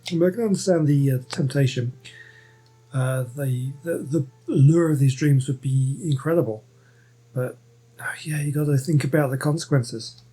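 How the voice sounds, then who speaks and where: Mellow, husky man commentating on a game. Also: mid-range voice, normal speed, faint music behind, poor audio. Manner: rambling, thinking aloud. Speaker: gaming streamer. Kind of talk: casual let's-play commentary.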